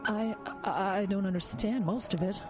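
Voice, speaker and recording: A woman's voice: mid-range voice, steady tone, moderate pace, over music, natural voice. A woman playing a wary, inquisitive investigator in an audio horror drama. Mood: startled, wary.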